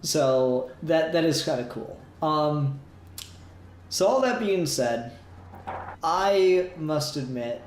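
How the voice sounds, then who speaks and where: A man's voice: on the high side, slow pace. A man hosting a review show in casual chat, with hesitant, rambling phrasing that repeats words. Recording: roomy sound with faint background noise.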